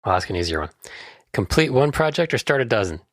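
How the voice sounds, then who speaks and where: A man's voice: mid-range voice, big pitch swings, fast, fairly bright tone. A man co-hosting a podcast, chiming in with wry, joking questions. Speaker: podcast co-host. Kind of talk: casual interview chat.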